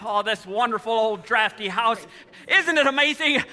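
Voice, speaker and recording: A man's voice: animated, normal speed, sharp tone, full character voice. A man playing an emotional family man in an old-time radio play, exclaiming and repeating himself. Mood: giddy joy. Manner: highly emotional, exclaiming, repetitive. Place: live stage in a hall. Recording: echoey hall, live stage taping.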